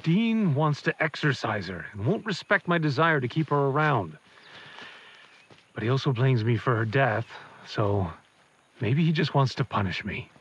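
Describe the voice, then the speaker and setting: A man acting a bereaved middle-aged father in an audio drama, folksy and brooding. His voice is husky and a bit rough, halting as he talks, on a slightly muffled recording. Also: medium pitch, sing-song, a bit bright. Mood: sorrowful.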